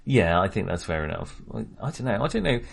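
A man's voice: deep voice, very animated, quick pace, vocal fry, slightly rough. A man co-hosting a casual film podcast, talking with many fillers and restarts. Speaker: podcast co-host.

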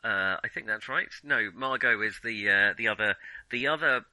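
A man hosting a podcast, talking casually about film in a halting, hesitant way. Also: fairly low pitch, sharp tone, no echo, poor audio. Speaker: podcast host.

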